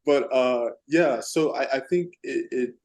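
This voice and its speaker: A man from Texas interviewing a guest, halting and restarting often as he searches for words. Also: medium pitch, darkish tone. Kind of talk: casual interview.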